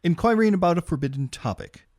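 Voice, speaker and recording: An actor playing a dutiful, deferential government agent in an audio drama, reporting in a formal, businesslike way. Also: male voice, high voice, lively intonation, fast talker, a little mellow. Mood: dutiful, concerned.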